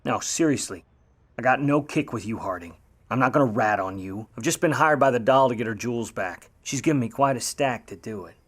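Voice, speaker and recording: A man's voice: mid-range voice, a little fast, a bit bright, breathy edge. A man playing a wry 1950s private eye who talks and narrates in slangy old radio noir style. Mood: coaxing, cool. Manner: wry, slangy, hard-boiled. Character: grudging wisecracking 1950s male private eye, hard-boiled narrator. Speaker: lead actor and narrator in a radio play.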